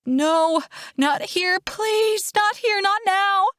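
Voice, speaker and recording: A woman's voice: very high-pitched, drawn-out words, a bit bright, no room sound, studio quality, voice pushed up, full character voice. A woman voicing a desperate, grieving woman in labor, pleading and crying out in a dramatic audiobook. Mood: panicked pleading. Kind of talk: dramatic audiobook character acting.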